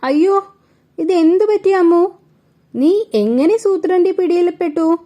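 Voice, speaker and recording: A woman's voice: very high voice, expressive, a little slow, dark tone, pitched above the performer's own voice. A woman voicing a lonely, loyal young male porcupine in a children's story, speaking earnestly. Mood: alarmed.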